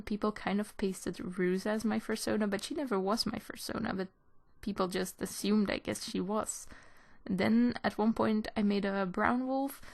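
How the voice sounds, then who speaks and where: A woman chats casually as she answers questions from viewers. Her voice sits at a medium pitch with a noticeable creak. Her delivery is informal and a little hesitant, as in a homemade video from her own room. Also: a little mellow. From Belgium. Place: in a room at home.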